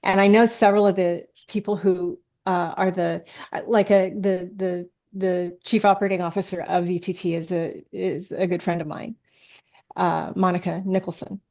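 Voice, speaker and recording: A woman's voice: lowish voice, flat delivery, normal speed, a little mellow. Woman chatting in slightly dull, lo-fi audio. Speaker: guest author. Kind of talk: casual interview chat.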